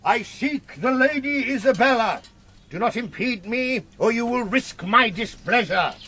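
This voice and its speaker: An actor playing a proud, imperious knight in a gothic radio drama, declaiming in archaic language. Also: male voice, very high-pitched, expressive, unhurried, pushed and bright, a little hiss or hum, exaggerated voice. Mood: imperious, angry. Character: proud, imperious male knight, quick to anger, solemn.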